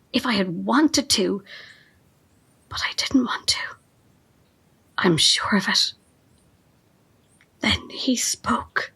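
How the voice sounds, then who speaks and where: An actress playing a fanatical cult assistant in an audio drama, measured, calmly menacing and reverent, with a breathy, husky voice. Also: female voice, fairly high pitch, full character voice. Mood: rapturous awe. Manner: measured, veiled threats, reverent.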